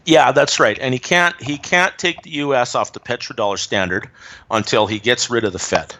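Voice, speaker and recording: A man's voice: mid-range voice, moderate pace, sharp tone. A man giving opinionated, emphatic commentary on politics and economics in a casual chat. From Canada. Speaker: guest commentator.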